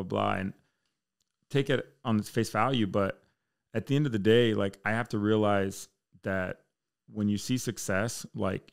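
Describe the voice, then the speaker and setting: A man's voice: on the low side, creaky, smooth. An American man chatting casually and candidly as a podcast guest, with slangy, bro-heavy speech. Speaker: podcast guest, military fire chief.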